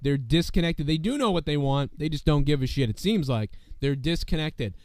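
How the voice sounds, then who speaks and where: A man's voice: a little high, animated, moderate pace, a little mellow, dry recording. Male wrestling-show host, under the weather, loose and profane, keeps saying "you know what I mean", dry close sound. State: sick, losing his voice. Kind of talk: casual profane show commentary.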